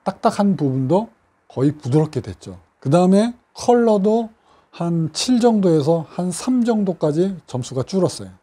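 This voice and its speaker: Mellow-voiced man explaining a case. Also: high-pitched, sing-song, husky. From South Korea. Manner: measured, explanatory, with frequent fillers. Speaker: scar clinic doctor. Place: doctor's office with bookshelves.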